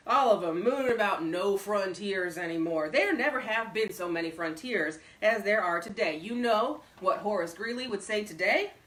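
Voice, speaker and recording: A boastful, genial older man from a storybook, acted out by a woman, holds forth with folksy bluster. The delivery is loud and emphatic. It sounds set back from the microphone in an echoing room. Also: mid-range voice, lively intonation, normal speed, hardly any pauses, voice pushed up, lightly acted. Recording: roomy echo, distant microphone.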